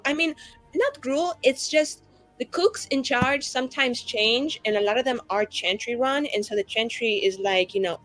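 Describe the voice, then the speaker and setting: Woman playing a high-pitched, sarcastic, tipsy ranting young woman. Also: close to an everyday voice, low-quality recording.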